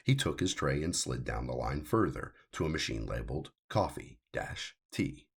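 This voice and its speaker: A man narrates science fiction in an extremely low voice. It is full of gravelly fry and husky grain. His reading is measured and deliberate, with lively swings in pitch for emphasis. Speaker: author reading his own story.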